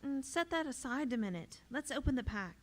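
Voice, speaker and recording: An actress playing a practical, easygoing camper in radio drama dialogue. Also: female voice, sits high, expressive, fairly quick.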